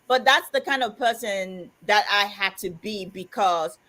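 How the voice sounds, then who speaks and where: A woman co-hosting a live chat stream, telling animated stories, laughing often and reading viewer comments aloud. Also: medium pitch, unhurried, poor audio. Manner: animated, laughs often, acts out quoted dialogue. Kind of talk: casual chat, storytelling.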